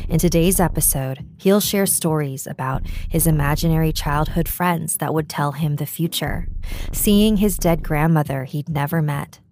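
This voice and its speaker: A woman hosts her storytelling podcast with a cozy, theatrical read. Her voice is very low, and clear background music runs under her words. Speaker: podcast host. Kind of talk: scripted podcast intro narration.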